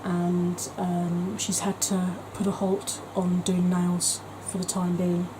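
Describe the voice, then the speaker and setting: A woman's voice: lowish voice, completely flat delivery, slow, noisy background. A woman talking in a chatty, gushing way as a beauty vlogger, with frequent ums. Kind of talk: casual vlog chat.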